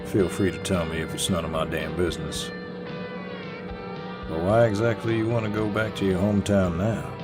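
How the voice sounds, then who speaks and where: Guy playing a laid back southern redneck boyfriend, real deep voice, slow gentlemanly drawl, teasing audio rp w music behind. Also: fairly even intonation, close to an everyday voice. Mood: easygoing, curious. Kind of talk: intimate boyfriend audio roleplay, acted to the listener.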